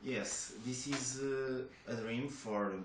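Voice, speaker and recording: A man's voice: mid-range voice, fairly even intonation, takes it slowly. A young man from Portugal, a native Portuguese speaker with a Portuguese accent, answering job interview questions in English with hesitant restarts. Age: young adult. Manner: hesitant, many restarts and fillers. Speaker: job applicant. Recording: echoey room with faint noise.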